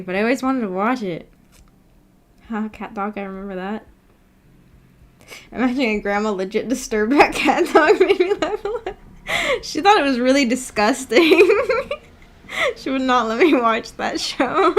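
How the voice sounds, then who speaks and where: A woman hosting a livestream, chatting casually and reading out viewer comments in a giggly, chatty way. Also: on the high side. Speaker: streamer and host.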